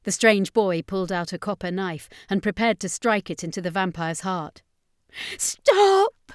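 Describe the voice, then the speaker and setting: A woman's voice: on the low side, steady tone, normal speed, clear, resonant tone, no echo. Woman narrating, close and dry. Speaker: narrator of a horror fantasy story. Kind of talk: scripted narration, audiobook storytelling.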